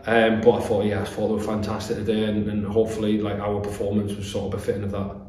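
A man's voice: lowish voice, completely flat delivery, fast, dark tone. British man in a very echoey room. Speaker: football manager. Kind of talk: post-match interview. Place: small bare-walled room indoors. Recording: echoey room, distant mic, faint noise.

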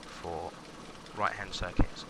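Low-voiced male pilot over engine noise. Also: flat delivery, slow pace, creaky. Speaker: flight instructor, pilot on radio. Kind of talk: flight-simulator lesson with radio calls. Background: aircraft engine drone from simulator.

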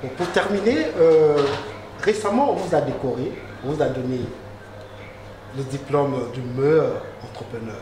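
A man's voice: a little high, animated, normal speed. A middle-aged man, hosting a conversational interview. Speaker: interviewer, radio host. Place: indoor sitting room, seated on a sofa. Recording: echoey room with clear background noise.

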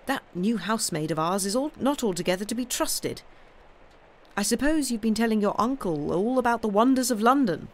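A kindly little old lady from a storybook, acted by a male narrator. She is homely and chatty, fussing gently in a lifted, quick voice. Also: sits high, lively intonation, pitched above the performer's own voice. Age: older. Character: kindly, pleasant little elderly aunt, fussing homely woman.

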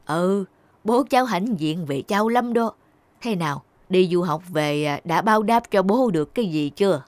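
A woman's voice: fairly low pitch, expressive, moderate pace, dry recording, natural voice. A woman voicing a kindly but scolding old village shopkeeper in a folksy, earnest way. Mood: chiding. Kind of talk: Vietnamese cartoon dubbing.

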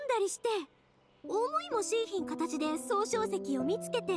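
A very high-pitched, cheerful young woman with a Kansai accent in an anime game. She is warm-hearted and friendly, and her pitch swings widely as she talks over background music. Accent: Kansai Japanese. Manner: expressive, friendly Kansai dialect speech. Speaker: voice actor in a video game. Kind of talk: anime-style game dialogue.

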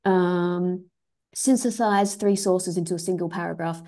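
Hesitant woman presenting a webinar, gravelly voice. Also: fairly low pitch, steady tone, measured pace, warm tone. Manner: hesitant, many ums and restarts. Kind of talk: academic webinar talk. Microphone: video call.

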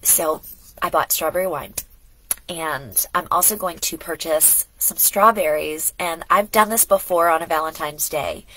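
A woman's voice: sits low, a little slow, bright, forceful tone, slight background noise, rough recording. A middle-aged woman vlogging casually at home, chatty and rambling, a bit congested from a cold. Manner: rambling, chatty, talks in asides and restarts. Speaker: lifestyle vlogger. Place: home studio indoors.

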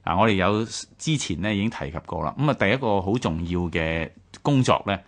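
A radio host, a man with a Hong Kong Cantonese accent, talks through history in a low-pitched voice. He explains point by point in a conversational way, peppering phrases with spoken particles. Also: animated, a little fast.

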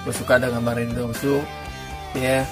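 A middle-aged man, giving casual reaction commentary and laughing loudly. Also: medium pitch, level tone, fast talker, music in the background. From Indonesia. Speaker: reaction video host. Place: green-screen studio room.

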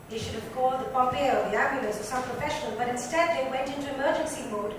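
A woman reading a book aloud as dramatic scripted narration. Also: sits high. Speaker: reader. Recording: echoey room, distant, noisy.

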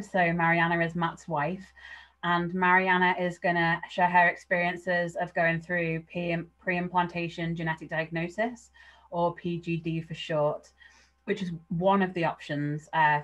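A woman hosting an online session, introducing the speaker in a relaxed, informal way with many filler words. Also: fairly low pitch. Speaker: session host. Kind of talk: hosting a webinar.

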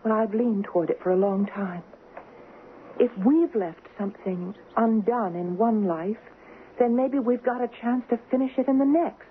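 An actress playing an earnest, dreamy young engaged woman in a 1970s radio drama, speaking with dramatic pauses. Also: on the high side, phone-quality sound, natural voice. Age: young adult. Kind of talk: 1970s radio drama acting, narrating and dialogue. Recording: noisy, thin band-limited old radio broadcast.

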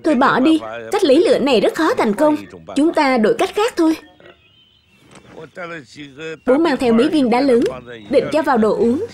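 Woman voicing an earnest high-pitched cartoon dad. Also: lively intonation, fairly quick, a little mellow. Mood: explaining. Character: earnest, confident cartoon father who likes explaining science. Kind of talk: children's cartoon dub.

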